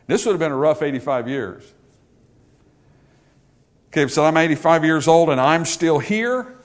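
Man, preacher, sermon, fairly high-pitched, loud peaks and hushed drops. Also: moderate pace. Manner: swings from loud emphatic peaks to quiet low asides.

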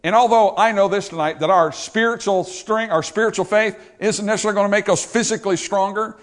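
A man preaching a sermon, swinging from loud emphatic peaks to quiet low asides. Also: fast.

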